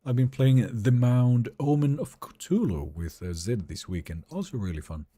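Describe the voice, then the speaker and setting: Swedish male streamer, easygoing and chatty, animated pitch, mellow tone. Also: moderate pace, breathy edge. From Sweden. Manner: relaxed, chatty, answers chat questions. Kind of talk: live gameplay stream, chatting with viewers.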